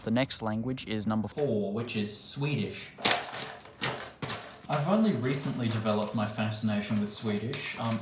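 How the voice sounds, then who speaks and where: A man's voice: on the low side, noisy background. A man hosting a language channel, talking casually and enthusiastically to camera with lots of "like" and "um" fillers. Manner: chatty, rambling with many "like" and "um" fillers; enthusiastic and curious.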